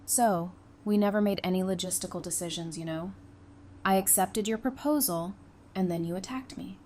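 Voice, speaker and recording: A woman acting an affectionate, gently teasing girlfriend in an audio drama, in a casual conversational manner. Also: on the low side, normal speed, close to an everyday voice. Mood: playful, questioning. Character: affectionate, teasing girlfriend in a post-apocalyptic romance. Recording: noticeable background noise.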